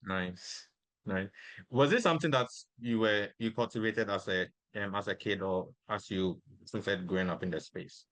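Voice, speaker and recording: A man hosting a podcast in casual interview chat, soft-spoken and asking questions between short agreements. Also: on the low side, moderate pace, dry recording, poor audio. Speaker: podcast host.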